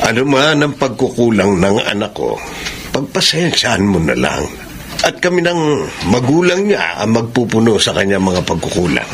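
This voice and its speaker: A man's voice: lowish voice, big pitch swings, moderate pace, fairly bright tone. A man acting a generous, reassuring middle-aged father in a Filipino radio soap, earnest in manner. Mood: kindly, earnest. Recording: radio drama, faint background noise.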